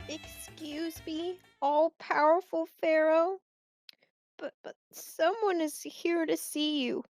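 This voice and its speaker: Casting: woman as a deferential, stammering palace attendant, high slow voice, close dry sound, radio play. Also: fairly even intonation, a little mellow, no room sound, soft background music, heavily acted. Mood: nervous. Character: timid, fawning royal servant of the pharaoh. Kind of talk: student radio theatre acting.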